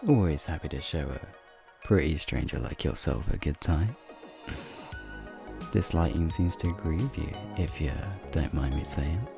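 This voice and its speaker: Very deep, gravelly flirty werewolf played by a man. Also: animated, measured pace, over music, exaggerated voice. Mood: flirty, warm. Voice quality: deep, gravelly, breathy low voice. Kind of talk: ASMR roleplay, speaking to the listener. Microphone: close microphone.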